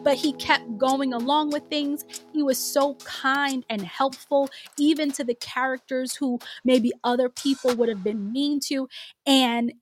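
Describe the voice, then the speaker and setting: A woman's voice: high voice, fairly even intonation, a little slow, soft background music. A woman hosting a casual solo podcast, a little nasal from a cold, talking in a chatty, rambling way with restarts. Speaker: podcast host.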